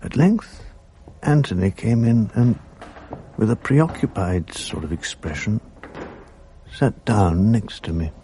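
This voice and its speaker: A man narrating a radio drama in a measured, reminiscent way, looking back on his school days. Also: fairly low pitch, expressive, warm tone, a little hiss or hum.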